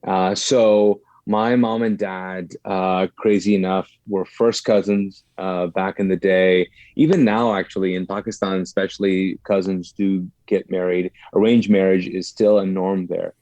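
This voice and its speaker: A man's voice: low voice, little pitch movement, measured pace, hardly any pauses, a little mellow, no echo, highs cut off. A middle-aged man from Kuwait, a podcast guest telling personal stories conversationally, with asides and self-corrections.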